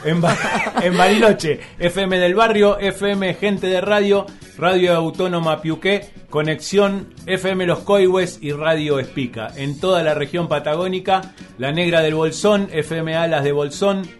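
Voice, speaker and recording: An Argentine man co-hosts on the radio with a joking, easygoing manner, his voice sitting a little high for a man. Faint music runs behind him as he talks. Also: fairly even intonation, measured pace. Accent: Argentine Spanish. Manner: jokey, reads station lists aloud. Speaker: radio co-host. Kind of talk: casual radio chat.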